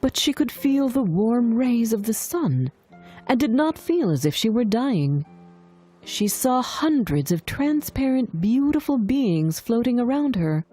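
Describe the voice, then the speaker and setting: A woman's voice: fairly high pitch, big pitch swings, measured pace, mellow, husky, faint music behind. A woman narrating a scripted fairy tale as a storyteller for language learners.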